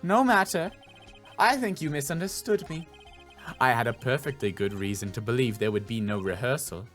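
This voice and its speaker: Man playing a refined haughty female witness, low, measured, condescending, game dialogue, music behind. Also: lively intonation, a bit bright, lightly acted. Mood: smug, composed.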